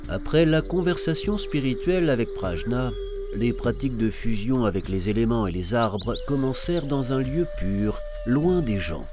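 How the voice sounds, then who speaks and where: A man's voice: mid-range voice, expressive, normal speed. A man narrating a cartoon story from a script in storybook style. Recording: music clearly behind the voice.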